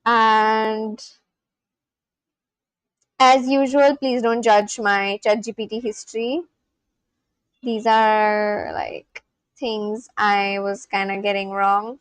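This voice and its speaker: A woman's voice: mid-range voice, little pitch movement, slow pace, pauses often, smooth, no room sound, low-quality recording. A young woman from India with an Indian English accent, chatting casually on a live stream, rambling with lots of fillers and restarts. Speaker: livestream host.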